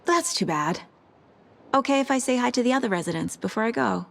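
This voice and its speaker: A woman's voice: fairly high pitch, lively intonation, fairly quick, gravelly, fairly bright tone, exaggerated voice. An actress playing a determined, intense FBI agent, protective of her family, in a horror video game. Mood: casual, probing.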